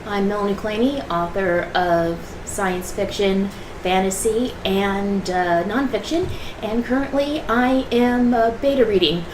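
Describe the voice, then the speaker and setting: A soft-spoken woman author introducing herself on a panel podcast. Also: mid-range voice, measured pace. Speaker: author guest. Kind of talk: casual panel chat. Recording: roomy with faint hiss.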